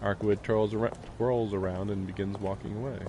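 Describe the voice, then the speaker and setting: A man's voice: on the low side, steady tone, normal speed, noisy background. A man streaming a visual novel, reading the text aloud and adding casual commentary. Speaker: game streamer. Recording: game music behind the voice.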